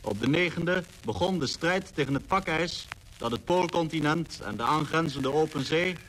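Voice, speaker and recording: A man's voice: on the high side, expressive, normal speed, fairly bright tone, a little hiss or hum, low-quality recording. A man announcing and narrating a scripted 1940s radio programme, captured on an old disc recording.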